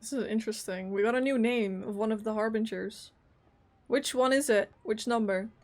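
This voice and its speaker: A woman's voice: on the high side, moderate pace, darkish tone. A woman giving casual, informal and sweary reactions as she plays a video game. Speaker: gamer reacting to a playthrough. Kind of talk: casual gameplay commentary.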